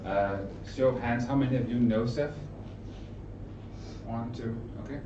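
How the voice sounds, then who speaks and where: A middle-aged man from Denmark with a Danish accent, giving an informal conference presentation in short, halting, explanatory phrases. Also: medium pitch. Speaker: trainer and consultant giving a presentation. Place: indoor event room with an audience. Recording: roomy, distant mic, narrow muffled sound, noisy.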